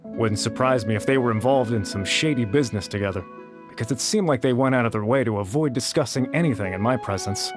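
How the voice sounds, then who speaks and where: A man's voice: medium pitch, fast talker, music in the background, lightly acted. Blunt, disgruntled male researcher played by an actor. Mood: matter-of-fact. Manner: blunt, dismissive. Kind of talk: video game character acting.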